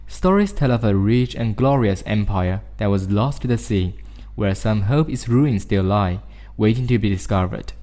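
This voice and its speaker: Man, low mellow voice, measured formal delivery, scripted read-aloud. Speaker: reader of practice passages.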